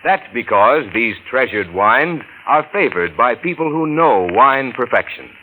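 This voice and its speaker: A man's voice: medium pitch, pushed and bright, slight background noise, low-quality recording. An American man delivering a scripted 1940s radio commercial with polished, formal salesmanship. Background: faint music.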